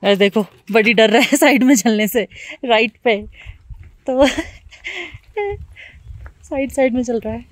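A woman's voice: fairly high pitch, a little slow, long pauses. A woman in her thirties from India chatting informally to viewers as a travel vlogger. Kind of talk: casual vlog chat, Hindi with some English. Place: outdoors on mountain walkways and inside a car.

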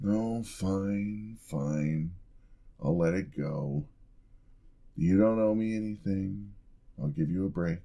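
A man voicing a flirty yandere husband in an ASMR roleplay, smooth-talking and teasing with a playful menacing edge. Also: low-pitched, slow pace, mellow, heavily acted.

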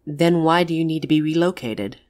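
A woman's voice: low voice, brisk, darkish tone, natural voice. A woman playing a caring but exasperated witch in an audio roleplay, talking frankly and coaxingly to a friend. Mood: concerned. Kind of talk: dramatic audio roleplay acting.

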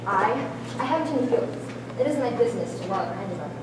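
Young woman as haughty society wife, fast, echoey. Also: a little high, lively intonation, close to an everyday voice. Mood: poised, superior. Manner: suave, mannered, formal diction. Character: poised, haughty, calculating social mask of wealthy wife. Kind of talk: amateur stage play acting. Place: large school hall with small audience. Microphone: far camera. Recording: echoey hall, distant camera, room noise.

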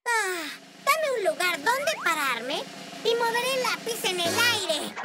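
A woman voicing a small, eager helper creature in a cartoon dub, speaking in an excited, urgent way. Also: extremely high pitch, unhurried, exaggerated voice. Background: music.

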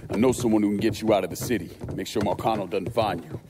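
A man's voice: fairly low pitch, fast, background noise, close to an everyday voice. A man playing a determined, tough young war veteran in a video game, talking bluntly and firmly. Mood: terse, cool. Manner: blunt, firm, streetwise. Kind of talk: dramatic video game acting.

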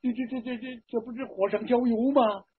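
A storyteller acting a stammering, husky old father. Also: mid-range voice, steady tone, a little fast, narrow, tinny audio, pitched above the performer's own voice. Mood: flustered pleading. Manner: stammering, repeating words, sighing interjections. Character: flustered, pleading old father, peacemaking and resigned.

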